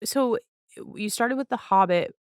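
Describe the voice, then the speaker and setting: A woman's voice: fairly high pitch, moderate pace, clean tone, dry recording, studio quality. A woman hosting a podcast interview in casual chat, playful and informal as she questions and reacts.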